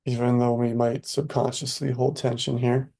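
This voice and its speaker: A man leading a guided meditation in a soothing, unhurried way, with spare phrases and long pauses between them. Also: medium pitch, little pitch movement, creaky, warm tone.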